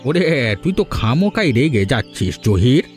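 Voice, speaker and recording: Cartoon role: male actor as mocking gold-shop keeper, drawling and teasing, medium pitch, soft music behind. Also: big pitch swings, moderate pace, natural voice. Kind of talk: Bengali cartoon voice acting.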